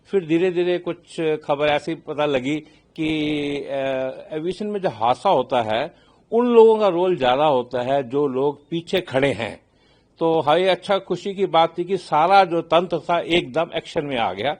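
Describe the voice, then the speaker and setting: Older Indian man, measured expert commentary. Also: on the high side, lively intonation. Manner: measured, halting, explanatory, mixes in English phrases. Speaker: aviation consultant and expert commentator. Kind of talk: spoken expert reaction in a news interview.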